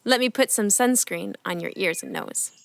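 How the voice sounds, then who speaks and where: A woman's voice: medium pitch, sing-song, normal speed, creaky. A woman playing a caring, fussy mother who teases her young daughter in a children's audio drama. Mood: fussing. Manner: warm, fussing, playfully teasing.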